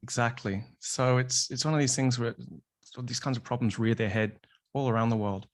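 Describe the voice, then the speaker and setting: A man's voice: medium pitch, fairly even intonation, moderate pace. A man co-hosting a podcast, speaking in a loose conversational way with fillers. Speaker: podcast co-host. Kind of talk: casual conversation.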